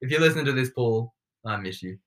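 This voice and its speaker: A man's voice: mid-range voice, expressive, a little fast, fairly bright tone. A young man in his twenties co-hosting a casual podcast chat, rambling and self-deprecating, often trailing off mid-thought. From Nepal. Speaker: podcast co-host.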